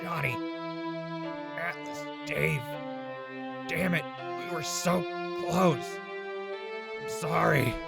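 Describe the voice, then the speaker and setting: An actor playing a grieving, bitter captive survivor in a post-apocalyptic audio drama, faltering in a very raspy, broken voice. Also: male voice, fairly high pitch, drawn-out words, long pauses, heavily acted. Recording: loud music over the voice.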